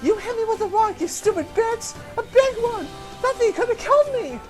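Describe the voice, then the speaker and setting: A woman voicing a cruel village bully boy who yells shrilly. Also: lively intonation, normal speed, music in the background. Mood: screaming. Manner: shrill yelling.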